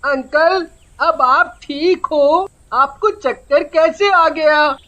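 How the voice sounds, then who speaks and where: An adult voicing a bright, slow-talking young boy. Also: sits high, full character voice, low-quality recording. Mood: helpful, curious. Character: eager, polite poor young boy. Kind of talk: animated moral story character acting.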